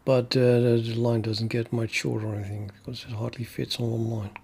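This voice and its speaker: A man's voice: medium pitch, flat delivery, creaky, darkish tone, breathy edge. A man streaming his programming work, thinking aloud and talking himself through the code. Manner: slow, musing, talks himself through code. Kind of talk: thinking aloud while coding.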